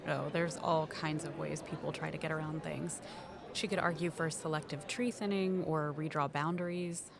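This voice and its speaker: A shrewd, low-voiced investigator. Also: female voice, fairly even intonation, moderate pace, vocal fry, a crowd in the background. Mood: knowing. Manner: dry, matter-of-fact, explanatory. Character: shrewd, knowing female colleague, quietly suspicious investigator. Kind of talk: audio drama acting.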